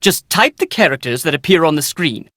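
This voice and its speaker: A haughty, eccentric woman taskmaster from a video game. Her voice is fairly low and swings widely in pitch, recorded very dry. She sounds imperious and taunting, theatrically bossing the listener around. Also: lively intonation, a little fast, a bit bright, dry recording. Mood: condescending. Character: haughty, bossy, eccentric typing taskmaster with pet chinchilla.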